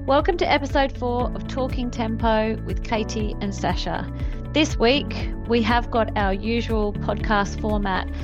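A woman in her forties with an Australian accent, co-hosting a podcast and introducing the episode in an upbeat way. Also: medium pitch. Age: 40s. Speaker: podcast co-host. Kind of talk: casual chat. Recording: dull lo-fi sound with music.

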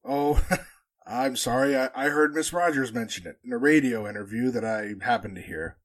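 A man's voice: mid-range voice, moderate pace, natural voice. A man playing a chatty, wisecracking radio announcer in a 1940s-style radio drama. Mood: chatty, joking. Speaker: actor in an amateur radio drama re-creation.

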